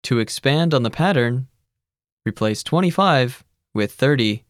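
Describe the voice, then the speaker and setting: A narrator giving measured, scripted instructions in a language course. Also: mid-range voice, no echo, studio quality. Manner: even, measured teacherly instructions.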